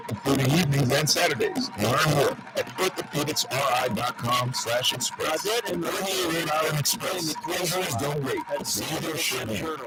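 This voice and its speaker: Medium-pitched male announcer, noisy radio ad. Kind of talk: scripted medical clinic ad read. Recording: radio ad, loud background noise.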